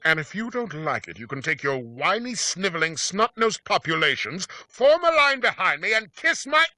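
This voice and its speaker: A sneering alien villain boss. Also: male voice, high voice, very animated, moderate pace, exaggerated voice. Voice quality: sneering, shouting insults. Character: scheming male alien tycoon villain, blustering. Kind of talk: video game cutscene acting.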